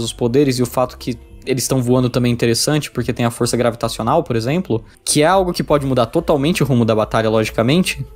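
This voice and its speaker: A man's voice: mid-range voice, darkish tone. A man with a Brazilian accent narrating a scripted video review as a reviewer of visual novels.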